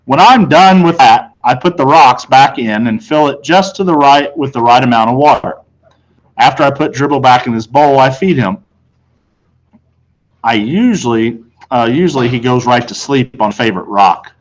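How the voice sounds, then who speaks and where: An American man reading a children's novel aloud as a teacher, with expressive storytelling. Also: medium pitch, moderate pace, low-quality recording. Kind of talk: audiobook-style read-aloud of children's novel, first person.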